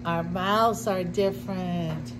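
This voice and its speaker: A middle-aged woman reading a picture book aloud to young children, with deliberate simple phrasing and little asides. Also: fairly low pitch, fairly even intonation, over music. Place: indoor classroom with sink and counter.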